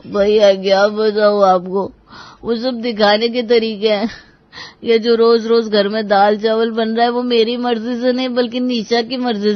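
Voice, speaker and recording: A man's voice: high-pitched, no room sound, lo-fi sound, close to an everyday voice. A man voicing a meek, miserable young husband and younger brother in a Hindi cartoon, deferential and plaintive. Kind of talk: dramatic Hindi cartoon dialogue.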